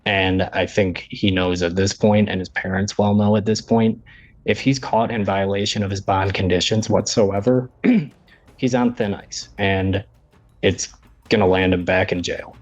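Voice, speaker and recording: A man pleading in court as a defense attorney, halting and deferential. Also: lowish voice, fairly even intonation, no echo. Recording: dull, lo-fi courtroom audio.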